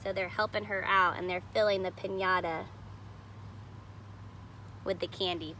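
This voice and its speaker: A woman with a bright, forward voice reads a children's storybook aloud. She is expressive in the narration and adds simple explanations of the pictures for young listeners. Some background noise sits behind her. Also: on the low side, a little slow. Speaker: storybook reader. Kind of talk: expressive read-aloud of a picture book with plain asides on the illustrations.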